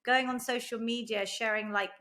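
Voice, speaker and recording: A woman's voice: on the high side, fairly even intonation, normal speed, sharp tone. A woman in her forties, a Gujarati native speaker from the UK, talking earnestly and with enthusiasm as an interview guest, in long run-on stretches full of "like" and "you know". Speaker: guest, personal trainer. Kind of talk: interview conversation.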